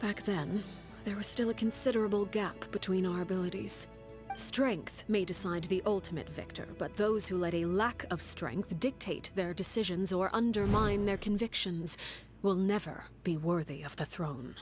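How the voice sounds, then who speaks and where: An actress voicing a cold, commanding woman who heads a household of orphans, speaking in a calm, measured, stern way in a video game. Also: medium pitch, lightly acted. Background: game score music.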